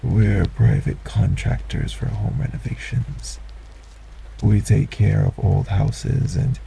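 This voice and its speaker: A man voicing a polite, sinister male stranger in an audio roleplay, speaking formally and courteously with a soft, breathy menace. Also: fairly low pitch, flat delivery, slow pace, a little hiss or hum, heavily acted. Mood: smooth, courteous.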